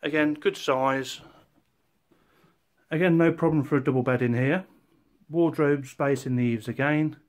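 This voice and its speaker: A man in his forties, an estate agent, walking through a house and pointing out rooms in a steady, informative way. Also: fairly high pitch, unhurried, long pauses. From England. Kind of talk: property walkthrough tour.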